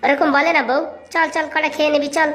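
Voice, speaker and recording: Casting: woman doing hungry, scheming cartoon husband, henpecked and whiny, high quick voice, rural Bengali accent. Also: little pitch movement, fairly bright tone, slight background noise. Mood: coaxing. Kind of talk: comic cartoon dialogue in village dialect.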